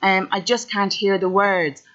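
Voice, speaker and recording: A woman with an Irish accent who speaks plainly and directly in the first person, giving steady testimony. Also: medium pitch, unhurried. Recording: dull lo-fi audio.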